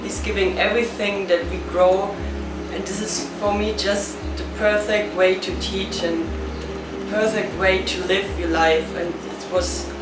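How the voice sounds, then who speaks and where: A woman's voice: mid-range voice, steady tone, a little slow. A woman from Germany, a yoga student, earnestly praising her teacher in a testimonial. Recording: echoey, distant, guitar behind.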